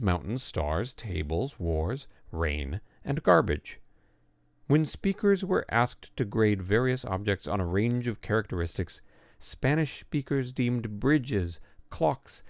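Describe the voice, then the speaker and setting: A man reading an essay aloud as scripted narration, in a measured, lively way with pointed emphasis on words. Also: on the low side, vocal fry, no room sound, studio quality.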